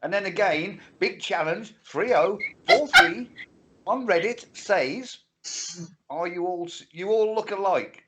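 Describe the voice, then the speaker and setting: A sixty-six-year-old man with a slightly high, very lively voice that swings up and down. He co-hosts a casual livestream, full of crude jokes and banter. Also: slow, a little hiss or hum. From England. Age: 60s. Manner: joking, crude banter, animated. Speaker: livestream co-host. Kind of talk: casual chat.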